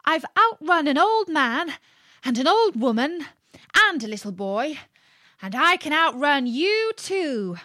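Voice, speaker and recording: A cheeky little gingerbread man brags in a high, raised voice, as a woman storyteller puts him on. He sounds pleased with himself and quick on his feet. The sound is dry and close. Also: big pitch swings, sharp tone, dry recording, full character voice. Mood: boastful.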